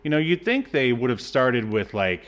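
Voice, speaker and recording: A man's voice: mid-range voice, very animated, moderate pace, a bit bright, lo-fi sound. A man co-hosting a podcast, recapping a film in a casual, mocking and sarcastic way. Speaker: podcast co-host recapping a movie.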